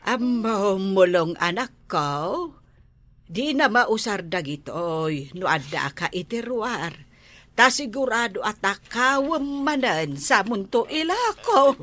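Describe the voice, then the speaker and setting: A woman's voice: mid-range voice, sing-song, slow, fairly bright tone, dry recording. A woman playing an admonishing mother of a wayward son in a comedy drama, with a chiding manner.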